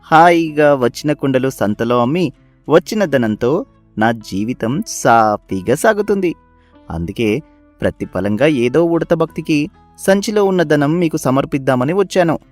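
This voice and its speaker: A man's voice: a little high, expressive, a little slow, no echo, soft background music. A man voicing a humble, grateful potter in a cartoon moral story, speaking reverently as a devotee.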